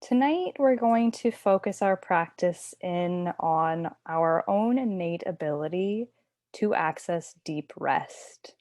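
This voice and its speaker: A woman leads a guided relaxation as a yoga nidra teacher. Her voice sits a little low and moves at a slow, unhurried pace. She instructs gently and soothingly, in a dry recording with hardly any room sound. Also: lively intonation, no echo.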